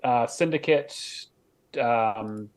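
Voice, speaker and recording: This is a man with an average-pitched voice, co-hosting a casual podcast. He talks in a loose, conversational way and swears freely. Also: drawn-out words, crowd noise. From Sweden. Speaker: podcast co-host. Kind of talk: casual chat about video games.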